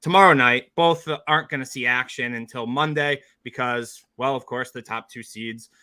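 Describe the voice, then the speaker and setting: A man co-hosting a sports betting podcast, energetic and chummy as he breaks down a college basketball matchup. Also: medium pitch, moderate pace, smooth. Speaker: podcast co-host, sports bettor.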